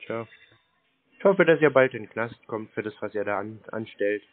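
A man's voice: fairly low pitch, animated, normal speed. A man in a casual phone conversation, baiting scam callers in a laconic, teasing manner. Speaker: prank caller baiting scam call centers. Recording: band-limited phone-call audio.

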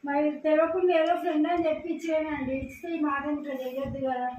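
A woman's voice: high-pitched, quick pace, a little mellow. A woman with a Telangana Telugu accent talking informally as a caller in a phone conversation, insistent, repetitive and argumentative. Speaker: prank caller. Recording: dull, echoey room with background noise.

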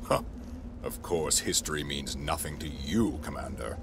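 A man playing an imperious, contemptuous and power-hungry dark lord in an audio drama, speaking deliberately with menace. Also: rumbling low, creaky, noisy background, heavily acted. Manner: slow, deliberate, condescending.

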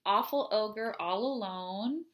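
A woman in her thirties reads rhyming poems aloud for children. Her voice sits at an average pitch for a woman. She phrases the verse deliberately and expressively, with casual asides in between, recorded in a small room at home. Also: fairly bright tone, slight background noise. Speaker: read-aloud host. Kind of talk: children's poetry read-aloud, chatty asides.

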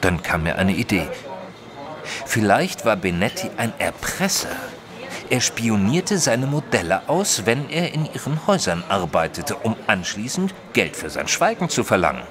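A man playing a thoughtful detective's companion who narrates a mystery audio drama in a measured, literary way. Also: lowish voice, big pitch swings, crowd noise. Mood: pensive. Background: music under the voice.

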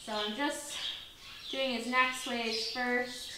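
A young woman vlogger calmly explaining horse stretches as she works with the horse in an indoor barn stall. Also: fairly high pitch, slow, background noise. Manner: calm, gentle and instructive while stretching a horse. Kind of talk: explaining while working. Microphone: far camera. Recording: echoey barn with distant mic and music.

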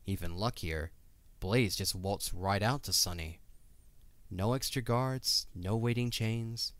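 A man's voice: lowish voice, slow pace, fairly bright tone, dry recording. A man narrating a scripted fantasy audio drama as its storyteller. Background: music.

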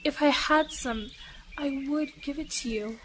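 A humble, devout lady missionary in an audio drama. Her voice is high and slow, earnest and gentle, over faint outdoor birdsong and ambience. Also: slight background noise, low-quality recording. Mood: kind, regretful. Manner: earnest, gentle, formal phrasing.

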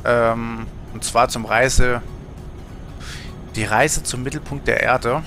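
Man, bright voice, slow colloquial livestream chat, faint music behind. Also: mid-range voice, steady tone. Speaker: game livestreamer. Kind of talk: casual livestream chat while gaming. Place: indoor home streaming setup.

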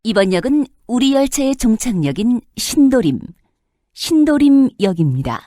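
A woman reading scripted subway announcements in a formal, measured manner. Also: on the high side, sing-song, mellow, no room sound, studio quality.

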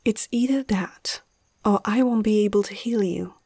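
A woman's voice: mid-range voice, normal speed, mellow, dry recording, natural voice. A woman playing a nervous but caring young vet in an ASMR roleplay, hesitant and often half-whispering close to the mic. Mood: reluctant, reassuring. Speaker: amateur ASMR roleplay performer.

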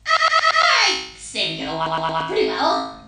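Female actor as small animatronic bear, excitable and cocky, high and drawn-out, for a cartoon comic dub. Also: heavily acted. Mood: excited. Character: excitable, vain, jealous little animatronic bear. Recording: roomy, distant, under loud music.

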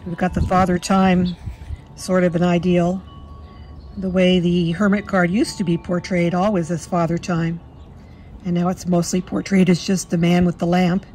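A woman with a slightly hoarse voice from a cold, chatting fondly through a walkthrough of a card deck at her table. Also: fairly low pitch, level tone, moderate pace, darkish tone, slight background noise. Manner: fond, chatty, admiring. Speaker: tarot deck reviewer. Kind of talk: casual walkthrough. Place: indoors at a table.

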